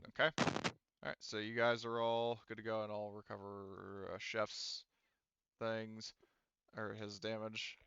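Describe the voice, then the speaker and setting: A man's voice: fairly low pitch, completely flat delivery, unhurried, gravelly, a bit bright, a little hiss or hum. A man speaking as game master, casually explaining rules and options in a rambling, conversational way with some swearing, on slightly dull voice-chat audio. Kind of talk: casual tabletop game narration.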